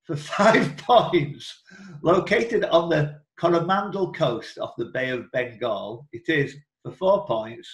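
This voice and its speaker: A man's voice: fairly high pitch, lively intonation, takes it slowly. A man hosting an online quiz, reading out questions in a chatty, informal way and breaking off into asides. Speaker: quiz host and question reader. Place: indoor room, online video call. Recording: roomy echo.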